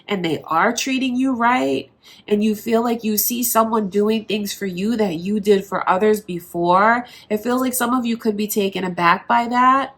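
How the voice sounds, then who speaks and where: Stuffy-nosed woman giving a tarot reading. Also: medium pitch. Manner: conversational, reassuring, talks straight to the viewer. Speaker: tarot reader. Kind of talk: casual tarot reading to camera.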